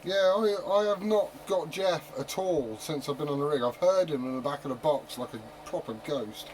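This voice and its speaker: A man with a slightly high voice talks on CB radio through heavy noise. His chat is loose and slangy, with casual swearing. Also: normal speed. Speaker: amateur CB radio operator. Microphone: CB radio set. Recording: noisy CB radio transmission, heavy static.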